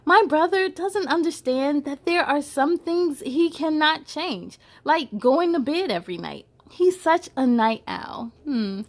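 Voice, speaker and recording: A woman reading a picture book aloud to children in an animated, playful way, adding chatty little asides. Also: high-pitched, measured pace, few pauses. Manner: playful, giggly, animated storytelling delivery.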